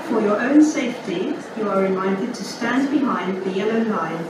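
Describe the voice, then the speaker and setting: A woman's voice: medium pitch, animated, normal speed. A British woman giving formal, automated railway station announcements, scripted and pieced together phrase by phrase. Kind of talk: scripted public-address announcement. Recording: echoey station PA, distant, noisy.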